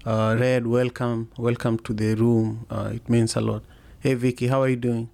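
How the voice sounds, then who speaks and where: A man hosting a podcast in a relaxed, casual chat, speaking haltingly with many fillers and restarts. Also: mid-range voice, vocal fry. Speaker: podcast host. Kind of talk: casual live audio-room chat.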